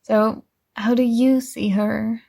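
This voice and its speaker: A woman plays a young female best friend who is sweet, earnest and completely oblivious. Her voice sits a little high and she takes her time over each phrase. It is soft ASMR roleplay, bubbly, with small hesitant pauses. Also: flat delivery, gravelly, exaggerated voice. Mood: supportive, upbeat.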